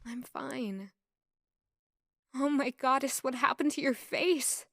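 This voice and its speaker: A woman plays an earnest peasant heroine in an audio drama. Her voice is high and her manner gentle and tentative, recorded close and very dry. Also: takes it slowly, dry recording, exaggerated voice. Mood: concerned, reassuring. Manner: soft-hearted, tentative, questioning.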